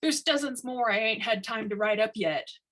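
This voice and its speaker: A woman's voice: fairly high pitch, moderate pace, fairly bright tone, voice pushed up, rough recording. A woman voicing an anxious male timekeeper, a pleading clerk with slangy speech, in a storybook reading. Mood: resigned. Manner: begging, slangy.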